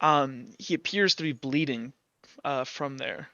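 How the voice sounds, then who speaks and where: A man's voice: medium pitch, measured pace, pushed and bright, clean tone, no echo. A man casually narrating a tabletop roleplaying game as game master, over a dull lo-fi recording.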